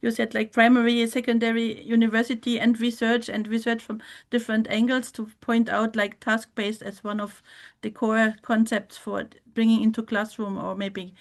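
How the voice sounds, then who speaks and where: A woman's voice: fairly high pitch, level tone, normal speed. A woman hosting an interview as a conference chair, asking questions hesitantly with many fillers.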